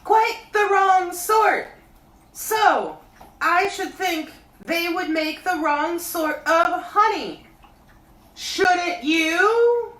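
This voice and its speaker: A woman voicing a gentle, simple-minded male teddy bear in a storybook reading, speaking in a slow, earnest loud whisper. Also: very high-pitched, pitched above the performer's own voice, full character voice. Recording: roomy, distant mic.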